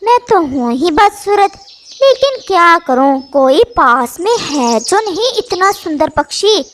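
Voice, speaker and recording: A performer voicing a sly male owl in a children's cartoon, oily and flattering as he schemes. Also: very high-pitched, measured pace, slight background noise, exaggerated voice. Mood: sly flattery.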